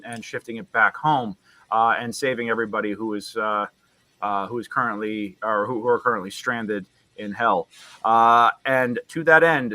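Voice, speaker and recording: A man hosting a tabletop game as game master, narrating in a halting way that often backtracks. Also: mid-range voice, bright, forceful tone, no echo, poor audio. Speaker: game master and stream host.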